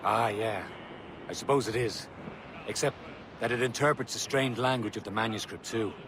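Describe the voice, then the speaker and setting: An actor plays a seasoned assassin, a loyal and firm older comrade. His male voice sits fairly low in a dramatic game scene, with clear outdoor noise behind it. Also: moderate pace, lightly acted. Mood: thoughtful. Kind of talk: dramatic video game cutscene acting. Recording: noisy outdoor ambience behind dialogue.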